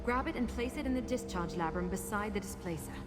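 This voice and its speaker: A woman's voice: mid-range voice, expressive, fast, lightly acted. A woman voicing a practical, thoughtful adventuring companion in a video game, explaining things conversationally. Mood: instructing. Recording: loud music behind.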